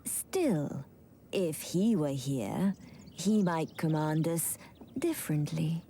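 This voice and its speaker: An actress playing a cautious female advisor and diplomat, speaking softly and deliberately. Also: deep voice, lively intonation, a little hiss or hum, heavily acted. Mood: worried. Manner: soft, deliberate, careful. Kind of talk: video game cutscene voice acting. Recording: game audio with faint music.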